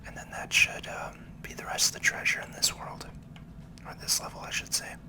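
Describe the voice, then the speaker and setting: A person giving gentle, unhurried ASMR game commentary in a breathy whisper, explaining as they play. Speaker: gaming video host.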